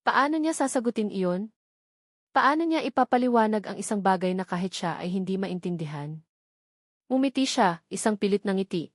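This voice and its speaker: A woman with a Filipino accent reads a dramatic story in a measured, solemn way, in short phrases. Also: mid-range voice, darkish tone, no room sound, studio quality. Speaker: story narrator. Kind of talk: dramatic scripted narration.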